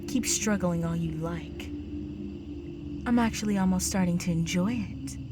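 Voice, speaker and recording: A woman playing a predatory, condescending vampire countess in an intimate audio drama, with a teasing, aristocratic manner and breathy whispers. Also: on the low side, measured pace, lightly acted. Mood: amused, condescending. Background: music.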